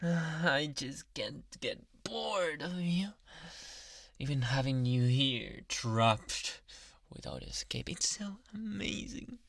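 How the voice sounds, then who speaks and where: Male actor as young yandere doctor, gravelly fry, unhurried, breathy half-whispers, sweetly menacing, possessive, close-miked ASMR roleplay. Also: fairly high pitch, expressive, a bit bright, dry recording, exaggerated voice. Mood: adoring, obsessive. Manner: slow, breathy murmuring that slips in and out of whispers. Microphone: close microphone.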